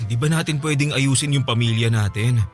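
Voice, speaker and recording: A man's voice: medium pitch, fairly quick, lightly acted. A Filipino actor playing a remorseful father back from abroad, imploring and apologetic in a radio drama. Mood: pleading. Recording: music bed under the voice.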